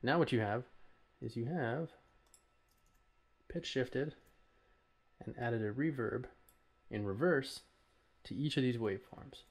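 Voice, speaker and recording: Man, mid-range pitch, casual tutorial host, informal, hesitant, step-by-step explanations. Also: takes it slowly, long pauses. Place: voice-over recorded while working at a computer.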